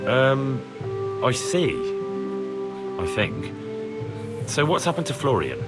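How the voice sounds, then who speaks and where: A man's voice: medium pitch, very animated, slow, loud music behind the voice. An actor playing an earnest, plain-spoken young man who asks questions in a video game. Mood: curious. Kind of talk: video game dialogue acting.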